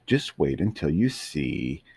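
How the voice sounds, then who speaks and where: A man voicing a gentle, loving female dog in a bedtime storybook reading, lifting his very low voice higher for her. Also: sing-song, takes it slowly, breathy edge, no room sound, heavily acted. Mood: tender.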